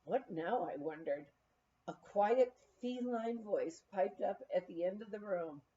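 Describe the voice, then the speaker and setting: A woman's voice: mid-range voice, lively intonation, a little slow, warm tone, muffled recording. A woman reading a memoir aloud as scripted narration, in a storytelling manner, like an amateur audiobook reader.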